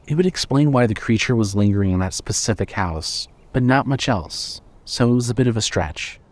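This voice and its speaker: A man's voice: fairly low pitch, animated, a little fast, dry recording. A man reading scripted narration for a horror fiction audio drama.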